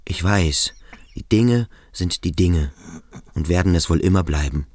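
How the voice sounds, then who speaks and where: An actor playing an introspective adolescent boarding school pupil in a radio play, halting and searching with quiet intensity. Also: male voice, sits low, dry recording. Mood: brooding, searching. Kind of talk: German radio play monologue.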